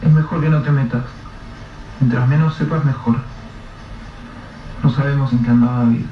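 A man's voice: mid-range voice, fairly even intonation, normal speed, natural voice. A man playing an anxious, evasive colleague who is trying to shield a grieving widow. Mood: guarded. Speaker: supporting character. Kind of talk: radio drama acting. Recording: distant, roomy, dull, noisy radio-play recording.

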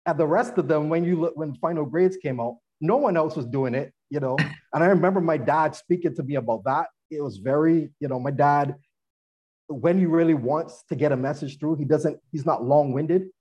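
A man's voice: fairly high pitch, normal speed, dark tone, slightly rough. A man being interviewed on a podcast, telling earnest stories about his upbringing and laughing now and then. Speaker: guest, former athlete and attorney.

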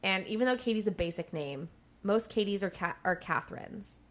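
Co-host, woman, mid twenties; mid-pitched voice, relaxed, playful chat. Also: normal speed. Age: mid 20s. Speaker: podcast co-host. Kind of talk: casual chat.

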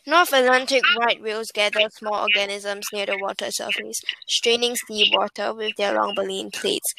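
A woman co-hosting a podcast, reading facts aloud in a halting way and tripping over words. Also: mid-range voice, fairly even intonation, vocal fry, pushed and bright, dry recording, rough recording. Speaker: co-host.

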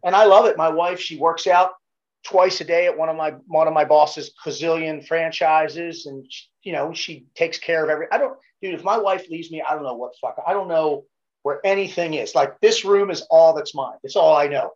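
A man's voice: a little high, brisk, highs cut off. A middle-aged man with a Baltimore accent, a podcast guest telling laid-back, profane stories in casual chat. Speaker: podcast guest, former Navy SEAL.

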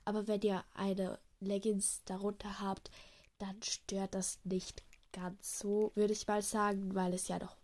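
A woman's voice: medium pitch, little pitch movement, unhurried, darkish tone. A young woman with a cold, chatting casually and rambling with many fillers and self-corrections. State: has a cold. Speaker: podcaster. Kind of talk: casual chat. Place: outdoors in cold wind.

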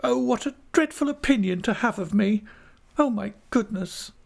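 A man's voice: high-pitched, animated, measured pace, vocal fry, a little mellow, pitched above the performer's own voice, full character voice. A man voicing a childish, tearful young wife in an audiobook, exclaiming in wounded, dramatic reproach. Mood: sobbing, wounded. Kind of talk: audiobook character voice.

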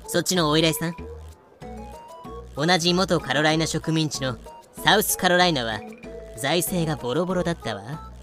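A man's voice: a little high, normal speed, long pauses, fairly bright tone, soft background music, natural voice. A man voicing a bold, easygoing, scheming female pirate captain with breezy, self-assured feminine phrasing in a fan audio drama. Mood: sly, coaxing.

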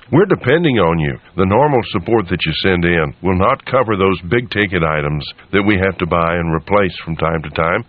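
Low-voiced folksy radio station host. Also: male voice. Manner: conversational, direct address to listeners. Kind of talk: folksy radio promo and appeal. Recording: narrow, phone-like radio sound.

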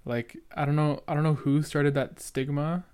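A man's voice: on the high side, little pitch movement, moderate pace, a little mellow, smooth. A young man who stutters, talking casually and earnestly as he reacts to his own old podcast episode. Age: young adult. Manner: earnest, rambling, filler-heavy.

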